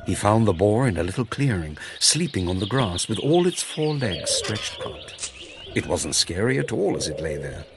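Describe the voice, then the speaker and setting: A man narrating a children's fairy tale in an expressive, theatrical storytelling manner. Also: fairly low pitch, normal speed, noisy background. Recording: music behind the voice. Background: music.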